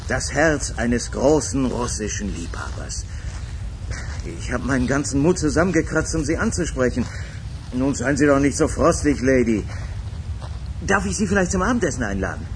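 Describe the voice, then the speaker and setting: An actor playing a pushy, melodramatic would-be great lover in a radio drama. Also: male voice, mid-range voice, sing-song, normal speed, a little hiss or hum. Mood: flirtatious. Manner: wheedling, melodramatic flirting.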